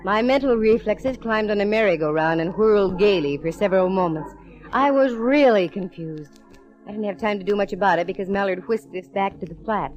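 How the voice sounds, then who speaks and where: A woman's voice: medium pitch, brisk, a little mellow, muffled recording, soft background music, lightly acted. An American actress playing a plucky, wry female private detective in a 1940s radio drama, slangy and quipping. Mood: wry narrating. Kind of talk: 1940s radio detective acting with first-person narration. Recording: vintage 1940s radio recording.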